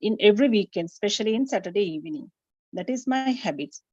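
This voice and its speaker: A woman from Sri Lanka speaks over a video call, the sound muffled and dry with no room around it. She delivers a presentation, halting often on "uh" as she gathers her thoughts. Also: medium pitch, lively intonation, dark tone, dry recording. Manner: hesitant, many "uh" fillers. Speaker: webinar speaker. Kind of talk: presentation talk with many fillers. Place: home during power cut, online call.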